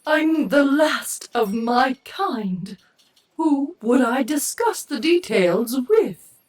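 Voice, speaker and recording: A delicate alien lady voiced by a woman with a high voice and slow, lilting delivery. She sounds genteel and plaintive, a wistful creature from a comic sci-fi audio drama. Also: lively intonation. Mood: mournful. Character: wistful, delicate female alien, last of her kind.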